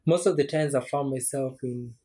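A young man in his twenties, a podcast guest talking through his thoughts in a relaxed, reflective way with frequent restarts. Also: medium pitch, moderate pace. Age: 20s. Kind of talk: casual chat.

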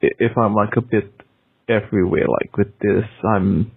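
A man whose first language is Indonesian leaves a halting, rambling voicemail full of restarts. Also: on the low side, steady tone, gravelly, slightly rough, no room sound. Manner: halting, many restarts and fillers. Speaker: caller leaving a voicemail. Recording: tinny phone line.

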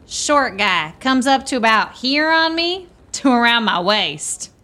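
A woman's voice: fairly high pitch, lively intonation, unhurried, sharp tone. A woman playing a folksy, good-humored small-town camp dweller in a radio play, with plain country phrasing. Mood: wry.

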